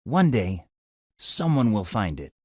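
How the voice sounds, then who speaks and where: A man voicing a calm, hopeful young husband in a children's storybook. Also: fairly low pitch, takes it slowly, breathy edge, no room sound, clean studio sound, full character voice.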